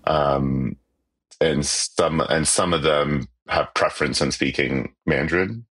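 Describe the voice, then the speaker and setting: A Canadian musician, a native Cantonese speaker, talking casually in an interview with many fillers and restarts. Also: male voice, very deep voice, slow, fairly bright tone, breathy edge.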